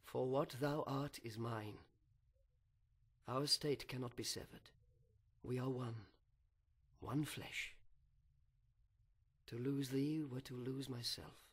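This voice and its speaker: A man playing a grave, resigned, devoted husband in a verse epic, speaking in a solemn, measured way. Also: medium pitch, steady tone, husky. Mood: resigned devotion.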